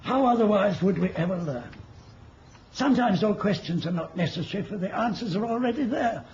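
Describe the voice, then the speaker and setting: An actor playing an ancient wizard and mentor in a radio play, speaking in a poetic, archaic and wistful manner. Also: male voice, high voice, lively intonation, fairly quick, darkish tone. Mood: gently instructing. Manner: poetic, grandly archaic, chuckling speech. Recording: dull, noisy old radio recording.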